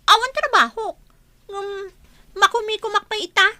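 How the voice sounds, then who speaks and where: Woman playing squeaky, bright lazy Ilocano daughter. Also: moderate pace, no echo, full character voice. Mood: whiny, defiant. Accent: Ilocano Filipino. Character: lazy, cheeky jobless grown daughter. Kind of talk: Ilocano comedy radio drama acting.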